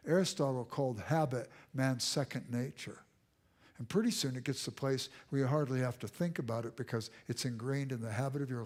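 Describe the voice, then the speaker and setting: A man's voice: medium pitch, creaky, darkish tone, slightly rough. A man giving a church lecture with audience questions, in an emphatic, repeating cadence that sometimes sinks to a near whisper. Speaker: guest speaker. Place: church auditorium.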